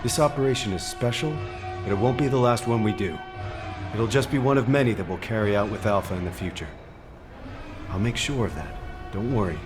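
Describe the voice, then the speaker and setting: A resolute man of a military squad in a video game, played by an actor. His low voice is assured and earnest, with clear music playing behind it. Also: brisk, close to an everyday voice. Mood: determined, reassuring. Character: resolute, reassuring male squad technician and comrade. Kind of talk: dramatic video game voice acting.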